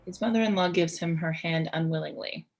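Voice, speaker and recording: A woman narrates stage directions in a play reading over a video call. Her voice is a little on the low side, and she delivers the script as narration. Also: brisk, a little mellow.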